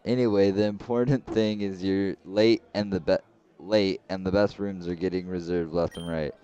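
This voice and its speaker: A man's voice: low voice, fairly even intonation, moderate pace, warm tone, smooth, lightly acted. A man reading the lines of a cocky teenage rival boy, taunting and smug. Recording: slightly dull lo-fi recording.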